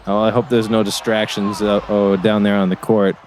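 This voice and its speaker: A man's voice: on the low side, level tone, normal speed, a little mellow, close to an everyday voice. A man playing an earnest, emotionally invested basketball color commentator in an audio drama, hesitant and easily wowed. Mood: concerned. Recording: basketball game noise behind.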